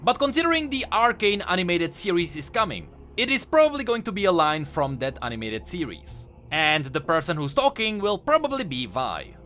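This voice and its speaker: The kind of bright, forceful male narrator heard on a gaming lore video, explaining emphatically over music. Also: high-pitched, huge pitch swings, brisk, hardly any pauses. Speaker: host of a video game lore channel. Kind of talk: enthusiastic scripted commentary.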